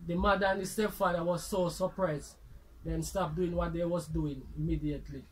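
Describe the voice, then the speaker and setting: A man's voice: fairly high pitch, steady tone, moderate pace, rough recording. A man with a Jamaican accent hosting and telling comic stories in Patois-tinged speech. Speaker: storyteller host. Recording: faint background noise.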